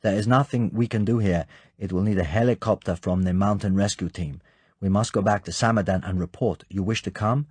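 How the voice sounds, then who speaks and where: A man voicing a foreign male official who speaks broken English in a halting, formal way. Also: on the low side, fairly even intonation, darkish tone, husky, dry recording.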